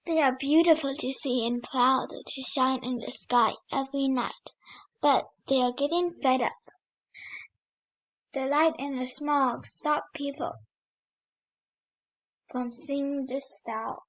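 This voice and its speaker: Child, high voice, unhurried reading, picture book read-aloud, spontaneous wondering comments. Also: steady tone, a bit bright, poor audio.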